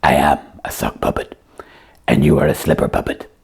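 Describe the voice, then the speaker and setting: A woman voicing a naive sock puppet sidekick in a comic puppet show, asking short, blunt questions in a husky, gravelly, breathy voice. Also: very deep voice, fairly even intonation, moderate pace, darkish tone, full character voice. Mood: earnest.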